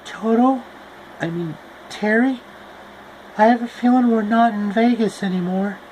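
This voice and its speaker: A man's voice: high voice, a little slow, darkish tone, slight background noise, voice pushed up, rough recording. A man voicing young Dorothy, an upbeat, eager girl in a raised, chirpy voice.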